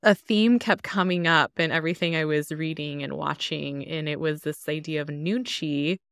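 A woman who is a guest on an interview podcast, speaking in an earnest, measured way. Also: sits low, a bit bright, clear, resonant tone, no room sound, studio quality. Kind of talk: interview conversation.

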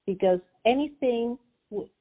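A woman's voice: on the high side, a little slow, slightly rough. Peruvian woman on a tinny phone line. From Peru. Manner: hesitant, many fillers and restarts. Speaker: guest, financial advisor. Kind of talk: interview over the phone.

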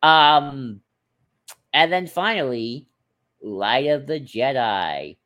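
A man's voice: medium pitch, lively intonation, very slow, a bit bright, muffled recording. A man co-hosting a podcast in casual chat, informal and stop-start, often hedging and slipping into asides. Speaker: podcast co-host. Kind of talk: casual chat, discussing book picks.

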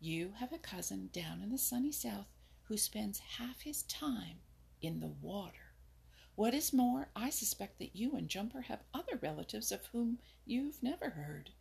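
A woman voicing a sharp, scolding little female wren in a storybook, with a raspy voice. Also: sits high, pitched above the performer's own voice, heavily acted.

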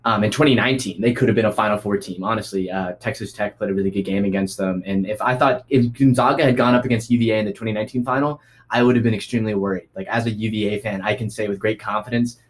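A man's voice: lowish voice, fairly even intonation, fast talker. A man on a casual sports chat, weighing his views with a lot of "I think". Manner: thoughtful, hedging with "I think". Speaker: panelist.

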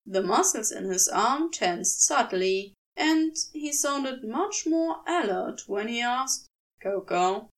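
High-voiced, expressive woman narrating a story. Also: takes it slowly, few pauses, recorded from a distance, rough recording. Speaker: podfic narrator.

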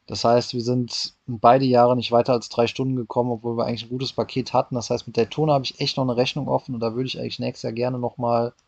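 Halting, gravelly man in his thirties. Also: mid-range voice, flat delivery, a little mellow, dry recording, muffled recording. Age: 30s. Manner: hesitant, many fillers and restarts. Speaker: racing driver guest. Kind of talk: casual interview answers. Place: hotel room.

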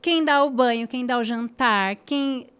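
A woman's voice: a little high, measured pace, fairly bright tone, clean tone. A woman, a podcast guest talking casually and emphatically, repeating phrases for stress and checking in with tag questions. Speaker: guest influencer.

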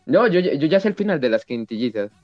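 A man's voice: a little high, sing-song, fairly quick, a little mellow, dry recording, lo-fi sound. A man from Colombia with a Colombian Spanish accent, chatting casually and often repeating his phrases. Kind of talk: casual group chat. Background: music.

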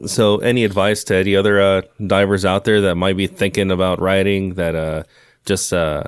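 A man with a very deep voice hosts a casual podcast. He talks in a loose, joking, conversational way. The sound is dry, with no room echo. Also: flat delivery, normal speed, clear, resonant tone. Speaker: podcast host.

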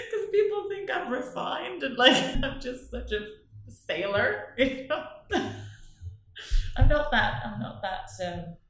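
A woman's voice: sits high, expressive, slow, pauses often, a bit bright, very echoey. A woman from northern Norway with a Norwegian accent, a guest in an interview, talking in a rambling, self-correcting way and laughing often. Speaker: artist guest. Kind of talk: casual interview chat.

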